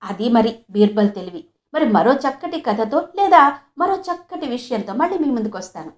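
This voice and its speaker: A woman's voice: on the high side, expressive, normal speed. A woman telling a moral story expressively, chatting directly to her listeners. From Andhra Pradesh, India. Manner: conversational, addresses listeners directly. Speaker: storyteller, channel host.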